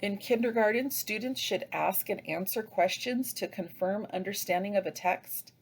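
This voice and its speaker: A woman delivering scripted instructional narration as a teacher trainer, with deliberate, didactic phrasing. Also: mid-range voice, slightly rough.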